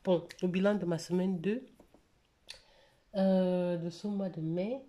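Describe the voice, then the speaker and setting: A woman's voice: on the low side, steady tone, takes it slowly, dark tone. A woman vlogging about her weekly budget, talking casually with many hesitations, slightly stuffy with a cold. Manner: hesitant, many fillers and restarts. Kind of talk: casual budget vlog to camera.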